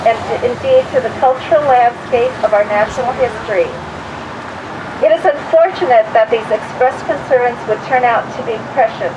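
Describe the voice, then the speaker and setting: A woman with a fairly high voice reads a formal statement aloud. Her delivery is measured, with occasional stumbles over words. There is clear background noise in the recording. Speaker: community supporter reading a statement aloud.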